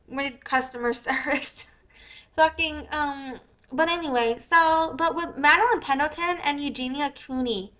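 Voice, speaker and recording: A young woman with a squeaky voice who talks in a rambling, profane, casual livestream style. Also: fairly bright tone. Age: young adult. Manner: rambling, profane, many fillers. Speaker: live streamer.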